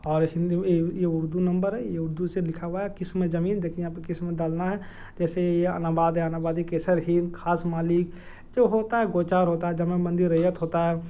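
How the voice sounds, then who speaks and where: A man with a Jharkhand accent giving an informal explainer in a halting, hesitant way, with many filled pauses and repeated words. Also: high voice, flat delivery, dark tone. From Dumka district, Jharkhand, India. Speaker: educational video creator. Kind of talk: informal explainer with screen walkthrough.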